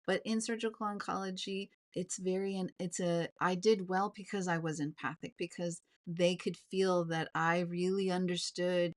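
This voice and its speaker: A woman in her forties giving a live-streamed coaching talk, calm and fairly even, earnest and personal, often repeating words and restarting sentences. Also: on the low side, normal speed, few pauses. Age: 40s. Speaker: business coach.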